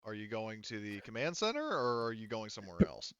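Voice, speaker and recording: A man's voice: on the low side, sing-song, brisk, a bit bright, clear, resonant tone, dry recording, muffled recording. A man casually running a tabletop roleplaying game as game master, improvising as he goes.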